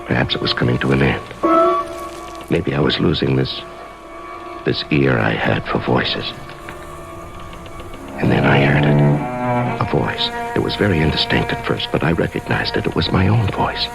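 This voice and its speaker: Anguished, deep-voiced pleading man. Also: expressive, gravelly, exaggerated voice, low-quality recording. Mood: haunted, brooding. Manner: halting, repetitive, pleading. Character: anguished man in pain, fearing his wife will kill him. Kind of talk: radio drama acting with first-person narration. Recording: old radio drama broadcast, loud music behind.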